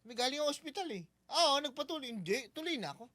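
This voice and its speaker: A man with a Filipino accent, co-hosting a radio show with excitable, joking interjections and banter. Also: very high voice, fast talker, no room sound. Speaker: radio co-host. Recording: music playing behind the voice. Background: music bed.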